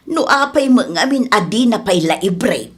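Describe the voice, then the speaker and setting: A woman's voice: medium pitch, lively intonation, moderate pace, breathy edge. Woman acting a gossipy, drawling Ilocano mother. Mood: gossipy, pitying. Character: middle-aged mother, nosy and doting. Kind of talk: audio comedy-drama acting.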